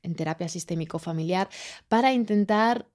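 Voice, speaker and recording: A woman raised in Spain with a Spanish accent hosts her podcast alone. Her voice sits fairly low and mellow, and she thinks aloud, pausing and restarting as she searches for the right words. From Chile. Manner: searching, hesitant, many fillers and restarts. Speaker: podcast host. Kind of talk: reflective solo podcast monologue.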